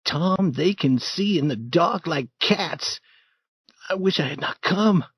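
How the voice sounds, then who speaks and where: A man's voice: fairly high pitch, expressive, slow pace, husky, dull audio, voice pushed up, heavily acted. A man doing a shaky, timid teenage boy. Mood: worried. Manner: shaky and worried.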